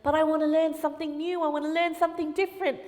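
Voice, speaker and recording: A woman voicing an excitable young boy karate student who pleads and protests. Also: high-pitched, a little fast, big-room sound, pitched above the performer's own voice, natural voice. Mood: eager.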